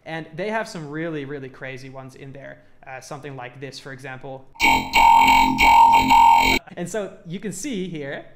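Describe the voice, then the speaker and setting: A man's voice: mid-range voice, moderate pace, pauses often. A man talking casually and informally as he explains a music production tutorial step by step. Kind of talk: casual hands-on tutorial talk.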